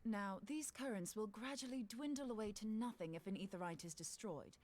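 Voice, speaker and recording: A woman's voice: medium pitch, moderate pace, darkish tone, close to an everyday voice. A woman playing a bold, self-assured scholar in a fantasy video game, explaining things breezily. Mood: keen, explanatory.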